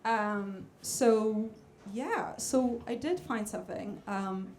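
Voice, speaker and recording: A woman's voice: medium pitch, slow, a little mellow, a little hiss or hum. A woman from Israel whose first language is Hebrew, a guest jazz vocalist introducing a song from a club stage in a hesitant, chatty way with many fillers. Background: band instruments faintly.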